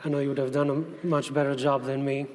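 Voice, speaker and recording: A man's voice: medium pitch, level tone, a little hiss or hum. Albanian man presenting in an echoey hall. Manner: halting, with frequent repeated words. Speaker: guest missionary speaker and Bible translator. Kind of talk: missions presentation to a church conference. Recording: echoey large hall, long reverb.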